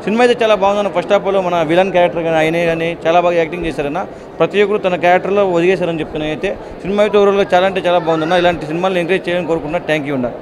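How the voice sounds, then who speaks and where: A man's voice: high voice, steady tone, rapid-fire. A man with a Telugu accent giving an enthusiastic vox pop review as a moviegoer. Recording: crowd chatter, outdoor street noise.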